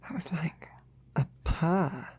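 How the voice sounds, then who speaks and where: A woman playing a devoted, tenderly teasing royal knight in an intimate roleplay audio, with breathy, often whispered, courtly speech. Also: sits low, darkish tone, slight background noise. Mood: playful, pleased. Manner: slow, tender, courtly phrasing.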